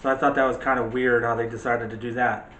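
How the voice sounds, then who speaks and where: A man's voice: mid-range voice, level tone, fast talker, fairly bright tone, highs cut off. A man hosting a movie discussion show in a casual, chatty and opinionated way. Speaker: host of a movie review show. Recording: echoey room.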